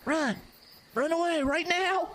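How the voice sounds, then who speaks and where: A woman's voice: very high voice, slow, a bit bright, a little hiss or hum, exaggerated voice. A voice actor playing an old woman from the woods, the carnival owner's mother, who talks in folksy rural grammar and gives ominous warnings in a horror radio drama. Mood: urgent.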